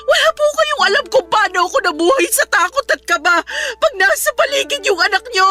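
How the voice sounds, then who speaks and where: A wronged young niece in a radio drama, hurt but unbowed. Her voice is very high and bright, pleading and defiant over faint music. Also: animated, fairly quick, exaggerated voice. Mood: tearful, pleading. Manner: tearful, pleading yet defiant. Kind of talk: Filipino radio drama acting.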